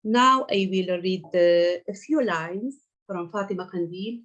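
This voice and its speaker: A woman's voice: on the low side, unhurried, a bit bright, highs cut off. A woman whose first language is Arabic, hosting an online festival talk over a video call, speaking carefully with many fillers and restarts.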